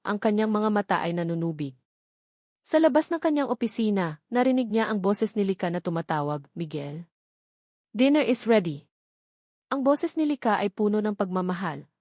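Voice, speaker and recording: A woman's voice: medium pitch, a little mellow, dry recording. Filipino woman narrating drama, dry close sound. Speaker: story narrator. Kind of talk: scripted dramatic narration of a Tagalog love story.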